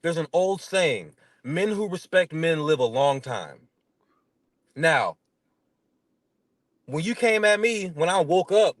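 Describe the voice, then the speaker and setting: High-pitched man with a stutter. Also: dry recording. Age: 30s. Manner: blunt, slangy, emphatic. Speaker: interview guest. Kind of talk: casual chat, animated storytelling.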